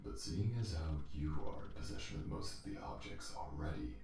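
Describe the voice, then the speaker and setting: A man playing a calm, calculating machine intelligence in a sci-fi audio drama, formal and detached, through an echoing synthetic effect. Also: a bass voice, a little fast, gravelly, heavily acted. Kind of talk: scripted ASMR audio drama acting. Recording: echoing, distant sound with faint hiss.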